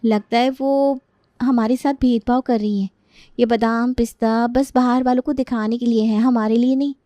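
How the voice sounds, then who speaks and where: A suspicious young bride acted by a woman in an audio story, outspoken and insistent. Her voice is high, recorded dry and close. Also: steady tone, moderate pace, mellow, dry recording, lightly acted. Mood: insistent, resentful.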